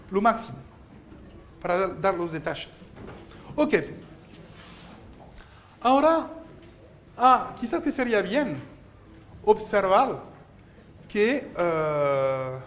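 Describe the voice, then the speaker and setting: French-speaking man lecturing, high voice. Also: a little hiss or hum. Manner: explains step by step, insistent, gently scolding; halting, restarts while writing on board. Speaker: university math lecturer. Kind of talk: classroom lecture. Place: lecture hall.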